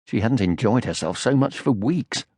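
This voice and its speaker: A man narrating a children's audiobook from a script in an expressive storytelling style. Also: mid-range voice, moderate pace, dry recording, clean studio sound.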